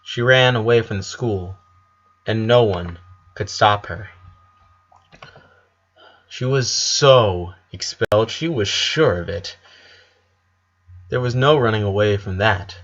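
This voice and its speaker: A man's voice: fairly low pitch, slow, pauses often, fairly bright tone, muffled recording. A man reading a scripted story aloud as an audiobook narrator.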